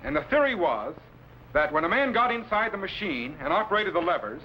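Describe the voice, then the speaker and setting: An actor playing a commanding, scholarly time-machine captain in a crisp, authoritative 1950s science fiction serial style. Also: male voice, sits high, very animated, a little fast, a little hiss or hum. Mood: explaining. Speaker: captain and mentor. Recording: dull vintage broadcast with loud music.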